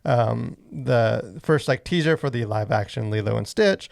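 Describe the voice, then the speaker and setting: A man's voice: medium pitch, a little slow, creaky, no echo, studio quality. A man co-hosting a podcast, chatting casually about pop culture in an informal, rambling, filler-heavy way. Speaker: podcast host.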